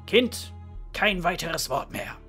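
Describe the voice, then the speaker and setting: A man playing a stern, unyielding dwarven father in a fantasy audio drama, speaking formally and with authority in a raspy voice. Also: on the high side, very animated, takes it slowly, pushed and bright, soft background music, exaggerated voice. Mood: stern, final.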